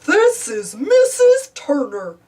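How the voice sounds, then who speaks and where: A woman voicing a friendly big male dinosaur in a storybook, gently explaining. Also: high-pitched, huge pitch swings, takes it slowly, far from the mic, pitched above the performer's own voice, full character voice. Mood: gentle.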